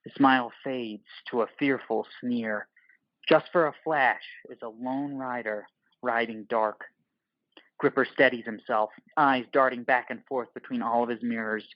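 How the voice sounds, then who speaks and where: A man reads scripted narration for an audio play. His voice sits at a middle pitch, and the recording is very dry with a dulled top end. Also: steady tone, a little slow, dry recording, dull audio.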